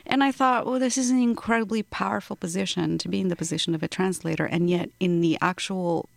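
A middle-aged woman author from Morocco, speaking thoughtfully in an interview and growing animated as she tells her story. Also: medium pitch, quick pace, vocal fry, dry recording. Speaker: author guest. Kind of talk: interview conversation.

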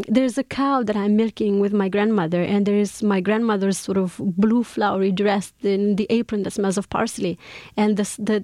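A woman's voice: medium pitch, dark tone, no room sound, clean studio sound. A woman with a Romanian accent, a poet being interviewed about her memoir, speaking reflectively with frequent fillers and restarts. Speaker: poet and memoir author, guest. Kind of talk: conversational interview.

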